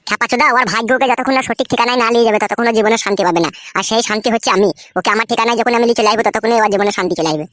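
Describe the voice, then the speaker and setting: A woman's voice: a little high, rapid-fire, hardly any pauses, fairly bright tone, no room sound, natural voice. A woman voicing a boastful, lecherous, foul-mouthed bachelor who schemes in a comedy cartoon. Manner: crude, swaggering, foul-mouthed.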